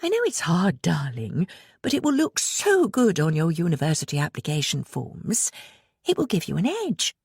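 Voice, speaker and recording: A woman voicing an anxious, gently nagging mother in an audiobook, placating and softly insistent. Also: on the low side, huge pitch swings, normal speed, vocal fry, slightly rough, no room sound, clean studio sound, exaggerated voice. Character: anxious, coaxing middle-aged mother, gently nagging her daughter.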